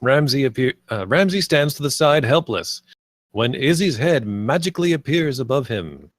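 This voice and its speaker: A man's voice: medium pitch, expressive, normal speed, no room sound, studio quality. A man narrating stage directions at a live script table read.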